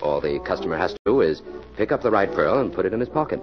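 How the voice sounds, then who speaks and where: A man's voice: low voice, big pitch swings, fast. A man playing a cool, authoritative police detective in 1940s radio style, speaking firmly in clipped, commanding phrases. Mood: explaining coolly. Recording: muffled old radio recording, music behind.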